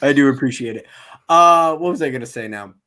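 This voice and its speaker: A man hosting a casual sports livestream, talking in an animated, searching way. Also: mid-range voice, fairly quick. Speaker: sports channel host.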